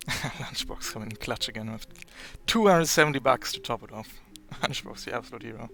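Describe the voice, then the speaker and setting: A hoarse, tired man running a tabletop game on stream, upbeat and calling the rules briskly. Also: medium pitch, bright, forceful tone, faint music behind. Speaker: game master.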